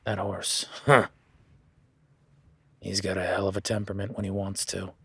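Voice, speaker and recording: A man's voice: sits low, level tone, normal speed, creaky, fairly bright tone, breathy edge, no echo, exaggerated voice. A man voicing an easygoing male cowboy ranch hand in an audio roleplay, talking in a laid-back, folksy way with casual swearing.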